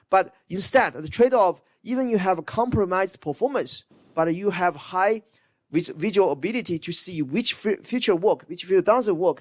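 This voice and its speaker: A man's voice: sits high, dry recording. A man who is a non-native English speaker lecturing informally over slides, repeating himself and checking in with listeners. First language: not English, non-native speaker. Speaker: university lecturer.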